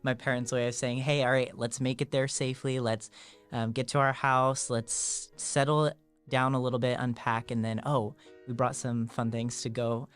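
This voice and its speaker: A man's voice: medium pitch, level tone, moderate pace, clear, resonant tone, soft background music. A man in his twenties, answering interview questions in an easygoing way with filler words. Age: 20s. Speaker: guest.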